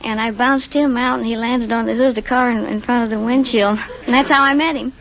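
A woman's voice: fairly high pitch, steady tone, fairly quick. An American woman from Illinois chatting as a quiz contestant, telling things in a plain, rambling way. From Rock Island, Illinois, USA. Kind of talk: casual chat answering a host. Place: radio studio before a live audience. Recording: muffled old-time radio, faint audience and hiss.

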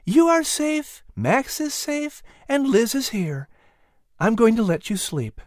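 A caring mother speaks in a soft, soothing way, voiced by a man. Her voice sits high and calm. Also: slow pace, no room sound, clean studio sound, full character voice.

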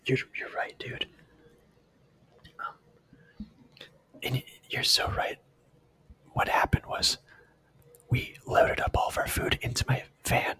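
A man whispering a rambling, profane camping story in a relaxed, self-amused way. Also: takes it slowly, long pauses, rough recording. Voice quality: breathy whisper.

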